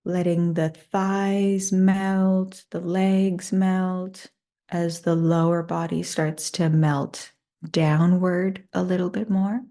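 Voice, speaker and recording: A woman leading a guided meditation in a soothing, unhurried and gently inviting manner. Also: lowish voice, level tone.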